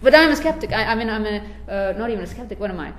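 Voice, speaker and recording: Woman panelist, thoughtful, halting, fillers between ideas, roomy hall sound. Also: medium pitch, lively intonation. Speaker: panel speaker. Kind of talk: academic panel talk. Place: lecture hall. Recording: echoey lecture hall.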